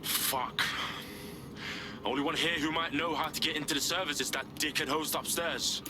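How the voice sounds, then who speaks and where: A man's voice: a little high, fairly even intonation, normal speed, music in the background, close to an everyday voice. An actor playing a sweary, uneasy male resistance operative in a video game, blunt and informal. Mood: irritated.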